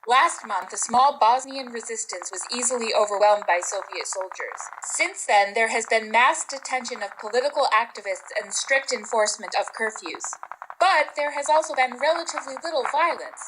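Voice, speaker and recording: A woman's voice: a little high, normal speed, lightly acted. A woman playing a grave foreign correspondent delivering a formal scripted war report in a radio drama. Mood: sober, urgent. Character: sober female war correspondent reporting from the field. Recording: noisy field report with clicks.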